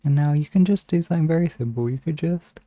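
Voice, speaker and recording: A man hosting a live ASMR hypnosis session, guiding relaxation in a soft, soothing, gently instructing manner. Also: a little high, quick pace, dry recording, muffled recording.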